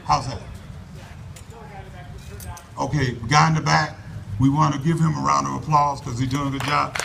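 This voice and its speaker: A man giving an earnest, folksy address to a crowd at an outdoor rally in a park, speaking loudly into a microphone and urging people to come closer. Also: mid-range voice, flat delivery, moderate pace, gravelly. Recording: voice from far off the mic, faint background noise.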